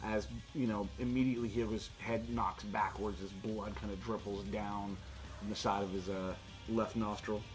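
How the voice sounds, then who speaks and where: Low-voiced man running a tabletop game. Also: level tone, normal speed, poor audio. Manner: informal, sweary. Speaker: game master of a tabletop role-playing game. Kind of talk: casual narration of tabletop game combat. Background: guitar music.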